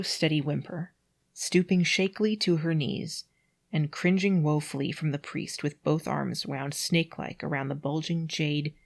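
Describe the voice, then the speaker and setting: A mid-pitched reader, sex unclear, reading prose aloud and adding incredulous, nitpicking, giggly asides. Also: gravelly. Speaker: amateur reader doing a read-aloud with commentary.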